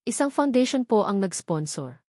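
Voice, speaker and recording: A woman's voice: mid-range voice, big pitch swings, moderate pace, darkish tone, dry recording, clean studio sound. Courteous Filipino pharmacist, deferential, matter-of-fact, audio drama read by a woman. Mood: polite, reassuring. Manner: polite, deferential.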